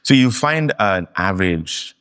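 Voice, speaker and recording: A man from Kenya, a guest in a fireside interview, telling stories in an animated, emphatic way. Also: on the low side, a little slow, smooth. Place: live meetup venue.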